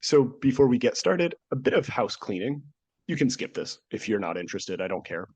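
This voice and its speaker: Guy hosting a history podcast while half sick, raspy breathy voice, jokey. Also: medium pitch, big pitch swings, fairly quick, a little mellow. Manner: jokey, laughs often. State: nearly lost voice, sick. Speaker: podcast host. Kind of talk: casual history podcast chat. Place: home studio, remote.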